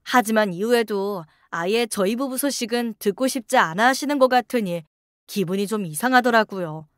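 Female story narrator, slightly high voice, dry close sound, scripted first-person reading. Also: moderate pace, no echo.

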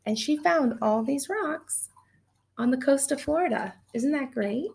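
Teacher, woman in her thirties, slightly higher voice, animated storytime delivery for kids, webcam sound. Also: measured pace, gravelly. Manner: animated, gushing, talks directly to children. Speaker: teacher reading aloud. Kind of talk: children's read-aloud. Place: small indoor room at home. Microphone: webcam at desk distance.

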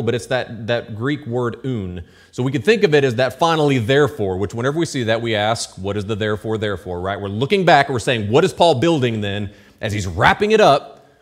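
Pastor preaching conversationally from a pulpit. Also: male voice, mid-range voice. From United States. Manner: conversational preaching with asides and checks for understanding. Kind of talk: sermon. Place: church stage with pulpit, indoors. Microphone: lectern mic at pulpit.

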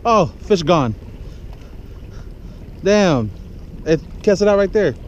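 A relaxed man talks under his breath as he fishes from a rocky harbor shoreline, his voice a touch higher than most. A head-mounted camera picks him up with clear wind and water noise all around. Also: slow, muffled recording. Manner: relaxed, mutters to himself while fishing. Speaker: fishing vlogger. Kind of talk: casual fishing commentary. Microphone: head-mounted action camera.

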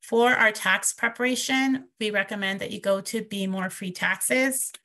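A woman's voice: medium pitch, a little slow, pushed and bright. A woman presenting a webinar over a video call, explaining programs slide by slide with frequent ums. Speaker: presenter from a financial nonprofit.

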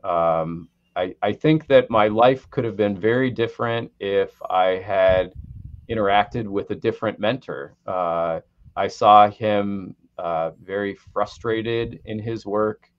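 A man's voice: on the low side, takes it slowly. A middle-aged man from Connecticut, a guest pathologist on a video call, talking in a rambling way with many restarts. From Connecticut, USA. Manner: rambling, frequent restarts and repeated words. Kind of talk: conversational interview on a video call. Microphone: headset mic on a video call. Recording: slightly dull video-call audio.

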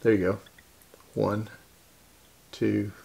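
A man's voice: on the low side, little pitch movement, drawn-out words, vocal fry, mellow. An older man giving casual repair commentary at a workbench, folksy and muttering to himself as he works, with faint noise and clicks in the recording. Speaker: hobbyist repair vlogger. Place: cluttered indoor workbench.

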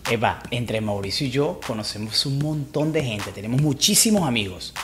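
Animated Venezuelan man in reality show. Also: mid-range voice, moderate pace, roomy sound, a loud crowd. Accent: Venezuelan Spanish. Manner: animated, defensive, uses slang. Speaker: reality show cast member. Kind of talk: confessional reality-show talk. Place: indoor living room at night. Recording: background music under voice.

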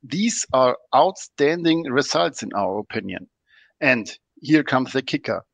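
A man's voice: mid-range voice, animated, measured pace, creaky, no echo. A man, a podcast guest explaining products in a methodical, technical way. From Germany.

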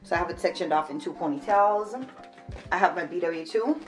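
A woman's voice: on the low side, brisk, faint music behind. A woman in her twenties from Jamaica, chatting casually to camera in a how-to vlog, explaining each step as she works. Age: 20s. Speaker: vlogger. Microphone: camera on a shelf a few feet away.

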